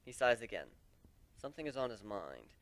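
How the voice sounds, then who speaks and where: A man's voice: mid-range voice, very animated, brisk, fairly bright tone. A man narrating a story as an amateur dramatic reader, in first person. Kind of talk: dramatic reading of fan fiction.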